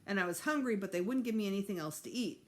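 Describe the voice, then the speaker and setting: A woman's voice: medium pitch, animated, fast talker, natural voice. A woman voicing an indignant, sulky and stubborn preteen boy in a storybook, complaining emphatically with sarcasm.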